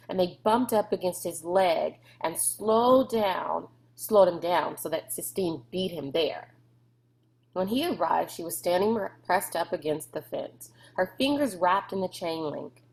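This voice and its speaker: A woman's voice: fairly low pitch, measured pace, vocal fry, poor audio. A woman reading a storybook aloud as narrator. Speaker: read-aloud reader.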